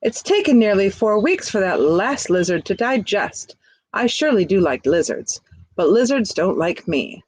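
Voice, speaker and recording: A woman's voice: medium pitch, sing-song, normal speed, darkish tone, breathy edge. A woman voicing a lazy, grumbling green snake in a picture book, groaning and complaining.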